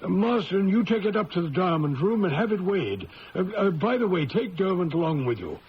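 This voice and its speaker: A man's voice: sits high, big pitch swings, brisk. An actor playing a flustered, hesitant older mine director in a radio drama, stammering over his words. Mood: pleased. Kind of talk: 1970s radio drama acting. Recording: muffled old radio broadcast with faint hiss.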